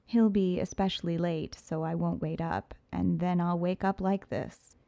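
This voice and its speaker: Woman narrator, first-person audiobook, poised and sultry, lowish voice, close and dry. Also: fairly even intonation, measured pace, vocal fry, dark tone, no echo, muffled recording.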